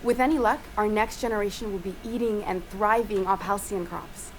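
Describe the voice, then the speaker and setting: A woman's voice: medium pitch, normal speed, slight background noise, natural voice, rough recording. Earnest, weary woman scientist, played by an actress. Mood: guarded, worried. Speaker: scientist character in a video game. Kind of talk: video game dialogue acting.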